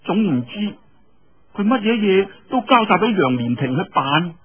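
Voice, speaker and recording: Man doing haughty wuxia girl, kinda high but raspy, huffy and scornful, dull audio. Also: very animated, moderate pace, full character voice. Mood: embarrassed, indignant. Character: young woman, proud, scornful daughter of sect leader.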